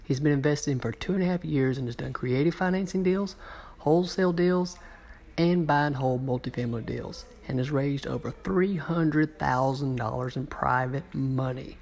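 A man's voice: medium pitch, moderate pace, gravelly, darkish tone. A man announcing a real estate podcast and introducing the guest with energy. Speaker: show announcer. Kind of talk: hyped show intro.

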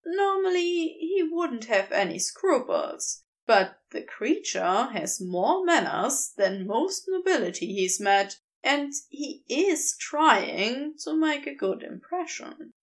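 Woman, audiobook-style narrator, very slow and deliberate, lively rising and falling pitch, fairly high voice, expressive storytelling. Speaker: podfic reader.

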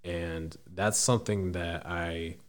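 A man in his twenties with a deep voice, a podcast guest speaking thoughtfully with frequent restarts. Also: steady tone. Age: 20s. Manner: thoughtful, halting, restarts sentences. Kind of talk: casual interview.

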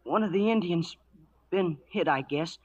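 A male actor playing a shaken, defiant boy from a wagon train in a radio western, halting and wary. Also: fairly high pitch, slightly rough. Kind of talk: 1940s radio western acting. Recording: dull lo-fi vintage radio broadcast.

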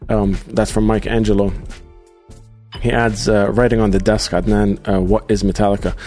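A man hosting a live podcast, reading out viewer comments in a casual, halting way with many fillers. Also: lowish voice, little pitch movement, warm tone, faint music behind. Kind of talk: casual livestream chat.

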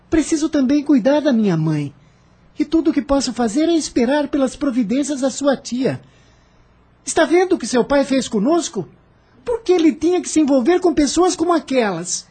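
A man's voice: very high-pitched, animated, normal speed, warm tone. An actor playing a bitter, anxious young man in a radio soap, protective and resentful, with a reproachful dramatic delivery. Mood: anguished, urgent. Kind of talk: radio soap opera acting.

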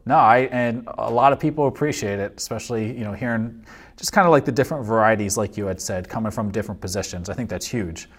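A man who is a police officer hosting a casual podcast interview, polite and filling pauses with um and uh. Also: fairly low pitch. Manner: polite, many ums and uhs.

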